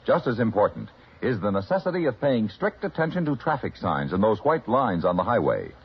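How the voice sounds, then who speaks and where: A man's voice: fairly low pitch, big pitch swings, fairly quick, slight background noise. An American man reading a vintage radio public service announcement in a formal, emphatic, instructive manner. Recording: dull old radio recording.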